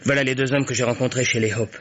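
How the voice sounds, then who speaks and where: A man's voice: mid-range voice, fairly even intonation, quick pace, fairly bright tone, lightly acted. An actor playing a weary, anxious man on the run in a French radio mystery, speaking in a hesitant, subdued way. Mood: tense, troubled. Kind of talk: French radio detective drama acting. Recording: dull, noisy old radio recording.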